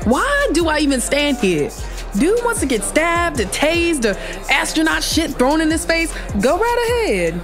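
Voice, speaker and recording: A woman's voice: sits high, very animated, a little slow, music in the background, full character voice. An actress playing an unimpressed, sarcastic female security guard in a comedy audio drama, with blunt delivery. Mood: exasperated. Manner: sardonic, blunt.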